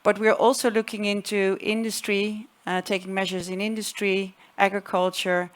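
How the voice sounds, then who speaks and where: A woman's voice: medium pitch, flat delivery, normal speed. A woman raised in the Netherlands, a government official speaking as a panel guest on stage, explaining policy with many fillers and restarts. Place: conference stage with audience.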